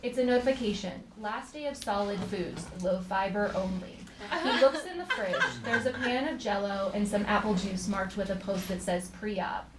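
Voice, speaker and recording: A woman's voice: mid-range voice, normal speed. A woman reading stage directions as scripted narration at a live comedy table read. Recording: echoey theater, clear background noise.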